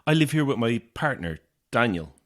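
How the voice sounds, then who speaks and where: A man's voice: medium pitch, big pitch swings, normal speed, a bit bright. An actor playing a lonely, weary Irish man in his thirties in a naturalistic audio horror drama, polite, hesitant and dry in manner. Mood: eager, polite. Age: 30s.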